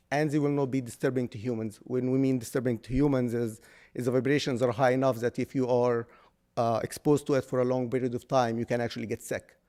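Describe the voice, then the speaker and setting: Man from egypt, engineering consultant, loud voice, restarts and fillers, mid-pitched. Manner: explanatory, with frequent restarts and fillers. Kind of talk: public hearing testimony.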